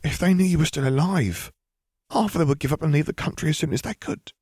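A man voicing a young witch in an audiobook, sharp-tongued and fearful, with urgent, emphatic delivery. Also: fairly high pitch, fast, husky, no echo, clean studio sound, pitched above the performer's own voice. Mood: fearful, earnest.

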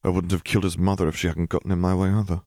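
A man's voice: low-pitched, flat delivery, racing, dark tone, no room sound, studio quality. A man voicing a cool young male wizard in an audiobook, understated, controlled and threatening. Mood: cold, probing.

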